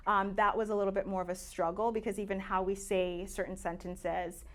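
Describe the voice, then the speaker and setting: A woman's voice: mid-range voice, flat delivery, brisk. A woman in her late twenties from Tanzania, a native Swahili speaker, chatting casually as a podcast guest with plenty of fillers and easy laughs. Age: late 20s. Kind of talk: casual interview chat.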